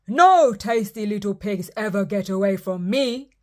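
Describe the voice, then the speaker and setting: A woman voicing a greedy, blustering big bad wolf who bellows slow, drawn-out threats. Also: mid-range voice, lightly acted. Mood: gloating.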